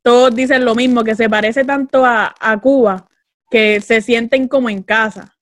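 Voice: medium pitch, fairly even intonation, moderate pace, fairly bright tone, no room sound. Podcast host asking casual questions. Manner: short encouraging interjections, laughs along. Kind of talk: casual interview chat.

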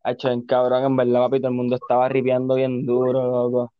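A man's voice: medium pitch, monotone, fast talker, clean tone, dry recording, phone-quality sound. A man of twenty-two from Puerto Rico with a Puerto Rican accent, a podcast guest chatting loosely in slang and swearing a lot. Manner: laid-back, slangy, swears constantly. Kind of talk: casual chat.

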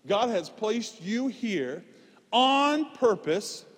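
A man preaching a conversational, informal sermon, emphatic at points and dropping into quieter, self-deprecating asides. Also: sits high, sing-song, drawn-out words, breathy edge. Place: large reverberant room, preaching live. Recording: reverberant hall sound.

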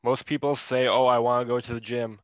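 Smooth-voiced man with a lisp. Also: mid-range voice, little pitch movement, fairly quick, no echo. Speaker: podcast producer. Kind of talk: casual chat. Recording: dry, dull lo-fi sound.

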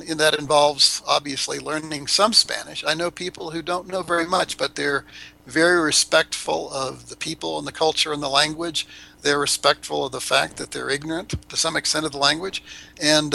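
Older man, podcast guest, rambling, blunt, gravelly, bright. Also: on the high side, rough recording. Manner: rambling, blunt, frequent restarts and mild swearing. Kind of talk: casual interview chat. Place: Ecuador.

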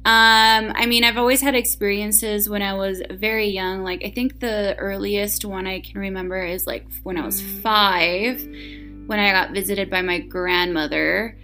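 Bright-voiced chatty woman guest. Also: medium pitch, fairly even intonation, a little slow, soft background music. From United States and Argentina. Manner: chatty, laughs often, many fillers. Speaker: guest, paranormal investigator. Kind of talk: casual interview chat.

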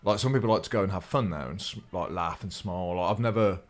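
A man's voice: low voice, fast talker. An English man in his late thirties hosting a casual podcast chat, talking in a blunt, matey, sweary way. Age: late 30s. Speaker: podcast host.

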